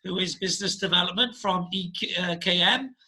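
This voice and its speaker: A man's voice: high voice, fairly quick, pushed and bright. A man hosting a podcast, chatting with a guest in an upbeat, easygoing way. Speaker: podcast host. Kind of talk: casual interview chat.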